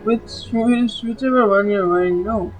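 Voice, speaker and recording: A woman's voice: mid-range voice, a little slow, poor audio. A woman hosting a gaming video with casual, rambling let's-play commentary, often saying filler words like "like". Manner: rambling, frequent "like". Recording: game music behind the voice.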